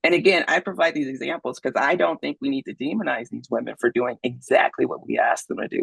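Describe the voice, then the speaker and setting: A man speaks in a gravelly, slightly husky voice, recorded close and dry. He talks casually as an interview guest, often tagging his points with a quick "right?". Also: mid-range voice, a bit bright, no echo, rough recording. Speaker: podcast guest, investor. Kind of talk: conversational interview.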